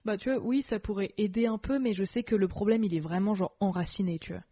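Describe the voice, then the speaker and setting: A woman's voice: mid-range voice, fast, no room sound. A woman co-hosting a podcast in casual chat, talking loosely with slang, fillers and restarts. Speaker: podcast co-host. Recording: dull lo-fi podcast sound.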